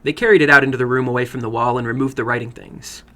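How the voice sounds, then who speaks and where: Man, mid-pitched, bright forceful tone, theatrical storyteller, deliberate dramatic horror narration. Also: steady tone. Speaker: storyteller, horror fiction broadcast host.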